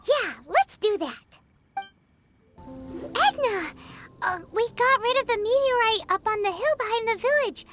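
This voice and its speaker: A woman voicing a perky, childlike little fairy girl companion in a video game, chatty, blunt and exclamatory with a squeaky tone. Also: expressive, measured pace, pauses often, fairly bright tone, faint music behind, heavily acted. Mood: curious, chatty. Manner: exclamatory, refers to self by name.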